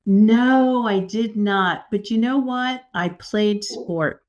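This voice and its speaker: A woman from New York City, an executive coach, telling stories as a podcast guest in an animated, enthusiastic way. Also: medium pitch, slow pace, dull audio. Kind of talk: casual interview.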